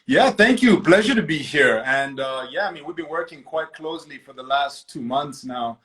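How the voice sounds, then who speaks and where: A man from Zambia answering interview questions over a video call, relaxed and conversational. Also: fairly high pitch, normal speed, a loud crowd. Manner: relaxed, conversational, fillers like you know. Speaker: guest artist.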